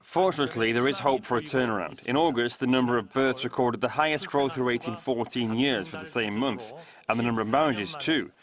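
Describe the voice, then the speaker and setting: A man's voice: medium pitch, brisk. A man reading out a translated government address in a formal speech style. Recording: clear crowd sound behind voice.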